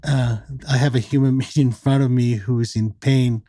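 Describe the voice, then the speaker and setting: A man in his sixties from Mexico talks in a measured, explanatory way, pausing on fillers as he lays out his thinking. His voice is mellow and warm, recorded dry and close with little room sound. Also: medium pitch, fairly even intonation, no echo. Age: 60s. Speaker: psychiatrist guest. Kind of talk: conversational podcast interview.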